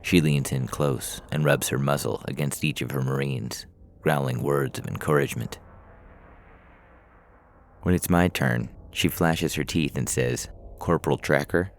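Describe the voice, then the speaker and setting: Extremely deep, gravelly male story narrator. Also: measured pace, a little hiss or hum. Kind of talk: scripted fiction narration. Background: music.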